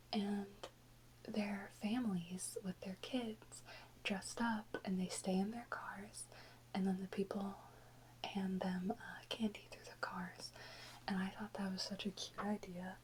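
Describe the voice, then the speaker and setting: A woman whispering softly and close to the microphone in an informal, rambling ASMR chat with unhurried pauses. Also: medium pitch, little pitch movement, low-quality recording. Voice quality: soft unvoiced whisper. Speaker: ASMR video creator. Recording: close whisper with faint background noise.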